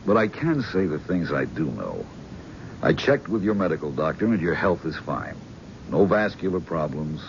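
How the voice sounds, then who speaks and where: An actor playing a calm, probing male psychiatrist who speaks in a measured, frank way. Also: very deep voice, vocal fry, a little hiss or hum, exaggerated voice. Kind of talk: 1970s radio drama acting. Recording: dull vintage radio broadcast.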